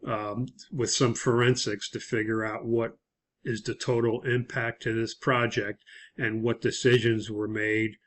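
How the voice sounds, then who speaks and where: A male instructor with a mid-pitched voice and very little melodic movement. He explains material in a critical, analytical way, often setting out rhetorical questions as he goes through his lesson. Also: level tone, unhurried, fairly bright tone. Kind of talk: recorded lesson, explaining a case study.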